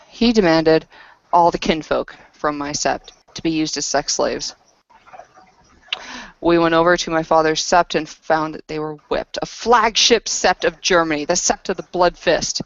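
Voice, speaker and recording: A woman playing a battle-worn werewolf woman who speaks in a terse, grave, halting storytelling manner in a tabletop roleplay. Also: low voice, dry recording, dull audio. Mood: grim, halting.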